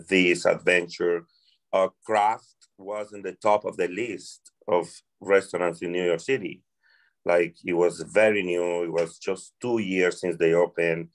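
A man in his forties with a Colombian Spanish accent speaks in a lowish voice. He takes his time, pausing to find the right English words. Spanish is his first language. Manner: slow, careful, searching for words in English. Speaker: restaurant worker guest. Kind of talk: interview conversation.

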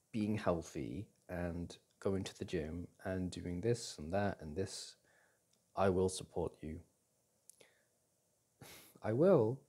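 Doting boyfriend, male actor, ASMR roleplay: soft, breathy, dark-toned, unhurried, tender and reassuring. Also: sits low, exaggerated voice. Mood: tender, supportive. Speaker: audio roleplay performer.

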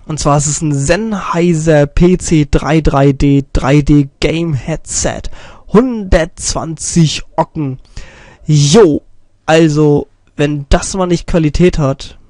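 A young man with a slightly raised voice and a mild cold talks through a channel review, clearing his throat now and then. He rambles, trips over his own sentences and fixes them as he goes. The sound is dry and close, from a stand microphone on his desk. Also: on the high side, takes it slowly, dark tone, no room sound. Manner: rambling, self-correcting, many fillers. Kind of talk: casual screen-recorded commentary.

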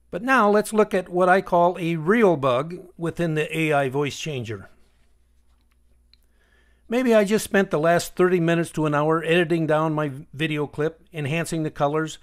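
Higher-pitched man narrating a software tutorial. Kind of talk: instructional screencast narration.

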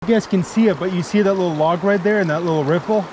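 A young man casually narrating his fly fishing trip outdoors, talking eagerly to his viewers. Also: high voice. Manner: enthusiastic, talks to viewers as "you guys". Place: outdoors by a forest creek. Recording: outdoor recording with loud stream noise. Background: rushing stream water.

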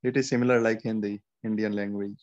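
A man's voice: fairly low pitch, level tone, fast, a little mellow. A young man whose first language is Urdu, answering hesitantly in a class video call. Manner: hesitant, searching for words. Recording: dull lo-fi video call audio.

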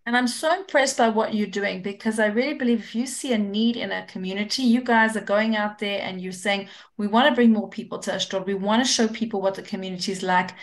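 A woman's voice: medium pitch, a little fast, hardly any pauses. Earnest woman hosting a video call. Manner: earnest, encouraging. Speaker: host. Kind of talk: casual chat.

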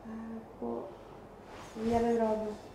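A woman's voice: on the high side, completely flat delivery, very slow, gravelly, warm tone. A young woman answering casually as a patient lying down in a treatment room. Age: young adult. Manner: lying down while speaking. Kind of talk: casual answers during an exam. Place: small treatment room indoors. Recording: roomy, noisy pickup with room ambience.

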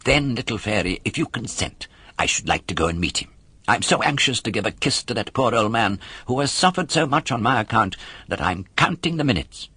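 A man voicing a naive, affectionate little boy puppet from a storybook in an earnest, excitable manner. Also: mid-range voice, fairly quick, breathy edge, no echo. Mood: eager, affectionate.

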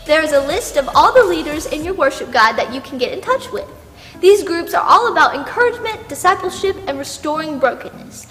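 A woman's voice: high-pitched, a bit bright. A young woman giving upbeat, scripted church announcements with enthusiasm, addressing the congregation. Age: young adult. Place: large empty church sanctuary, indoors. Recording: echoey church hall, music behind.

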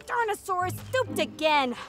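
A young woman playing an eager park ranger in a children's live-action show, bubbly and animated. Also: very high voice, normal speed. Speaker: park ranger trainee character. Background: music.

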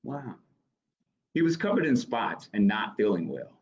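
A man in his thirties reading a picture book aloud to children as storytime host, expressive and encouraging, with chatty asides to young listeners. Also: fairly low pitch, measured pace. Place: small office, indoors. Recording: dull webcam audio.

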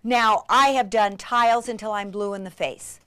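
A woman giving an enthusiastic hands-on craft demonstration, gushing and excitable, with breathy gasps and drops into near-whispers. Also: mid-range voice, moderate pace, dry recording. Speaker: craft instructor.

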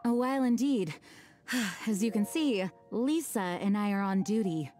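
A woman voicing a composed, responsible knight leader in a game, firm and measured. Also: mid-range voice, expressive, darkish tone, soft background music, close to an everyday voice. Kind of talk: game voice acting.

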